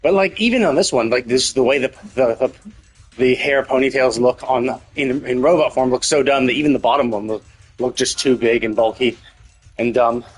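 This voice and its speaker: A man's voice: mid-range voice, normal speed, husky, soft background music. A man giving casual, opinionated live game commentary, rambling and repeating himself with some casual swearing. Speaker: live streamer playing a story game.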